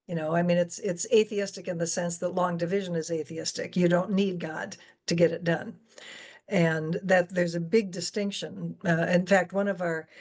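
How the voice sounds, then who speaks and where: A woman in a conversational explanatory talk, speaking articulately and emphatically as she lays out her points. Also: lowish voice. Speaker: guest moderator and science education advocate.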